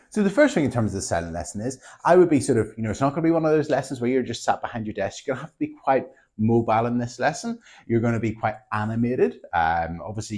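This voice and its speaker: A man, a maths teacher casually and animatedly explaining a classroom method to other teachers, often checking in with "okay?". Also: lowish voice. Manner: chatty, animated, tags lines with "okay?".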